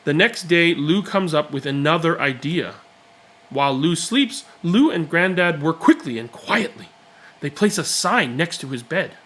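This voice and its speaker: A man reading a children's picture book aloud, with expressive, deliberate and dramatic phrasing. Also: fairly high pitch. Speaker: read-aloud storyteller.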